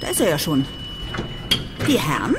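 An actress playing a rich, effusive media mogul in a radio drama, socially polished. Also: female voice, deep voice, wildly swooping pitch, moderate pace, very noisy. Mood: effusive, hostly. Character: gushing, rich woman media mogul hosting guests.

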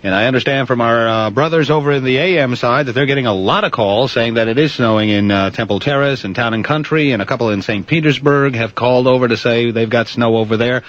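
A man's voice: mid-range voice, quick pace, few pauses, a bit bright. A man hosting a radio music show, announcing and chatting casually, recorded off the radio. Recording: dull lo-fi radio aircheck tape.

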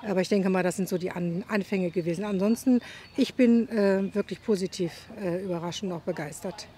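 A woman's voice: mid-range voice, brisk, warm tone. A woman answering as a visitor in a street interview, picking her words as she goes. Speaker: garden show visitor interviewed. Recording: outdoor interview with ambient noise.

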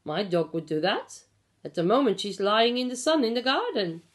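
Woman reading to little children, animated pitch. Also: normal speed, slight background noise. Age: middle-aged. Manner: expressive, explanatory, addressing small children with simple questions. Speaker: pre-school storyteller. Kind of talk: reading a picture book aloud to young children. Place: small living room at home. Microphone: phone or tablet at about arm's length.

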